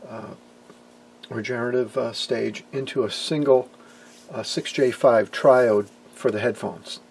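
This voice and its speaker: Creaky-voiced man explaining as he goes. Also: mid-range voice, darkish tone, poor audio. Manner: hesitant, explanatory, pausing mid-phrase. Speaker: amateur radio hobbyist and presenter. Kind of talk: informal hands-on demonstration of vintage gear.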